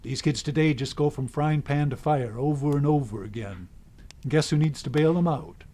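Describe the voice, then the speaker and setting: A man's voice: on the high side, moderate pace, mellow, lightly acted. An actor playing a cranky, world-weary old man in the woods, with a folksy, grumbling manner, in a radio drama. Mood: bitter, grumbling.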